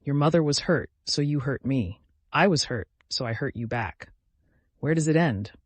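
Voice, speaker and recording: A man's voice: medium pitch, expressive, normal speed, no room sound, natural voice. A man voicing an earnest woman lawyer in an audiobook, remorseful but resolute, reasoning and pleading. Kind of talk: dramatic audiobook character reading.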